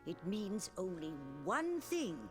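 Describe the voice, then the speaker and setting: A woman's voice: medium pitch, big pitch swings, slow, over music. An actress playing a grave, wise female mentor of weavers in a fantasy game drama, solemn and portentous.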